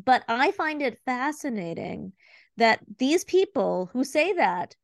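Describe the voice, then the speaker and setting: A woman in her forties from Cleveland, talking as an interview guest in a quick, chatty way full of fillers and restarts. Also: high voice, big pitch swings, creaky, dry recording, clean studio sound. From Cleveland, Ohio, USA. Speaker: guest, art consultant. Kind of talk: casual conversational interview.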